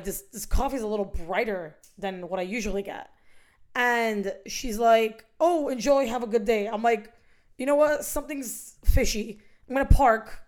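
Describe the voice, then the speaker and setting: A young woman in casual chat, her voice loud and animated and often breaking into laughter. She sits a little above the usual female range as she tells a story to a friend. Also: on the high side. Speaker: podcast host.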